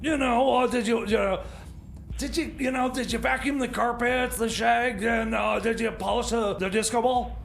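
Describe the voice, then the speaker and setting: A man's voice: very high-pitched, fairly even intonation, creaky, a little hiss or hum. A man playing a self-important, grumbling time machine mechanic who stammers and repeats himself in improvised comic radio banter. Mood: flustered. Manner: stammering, repetitive, halting.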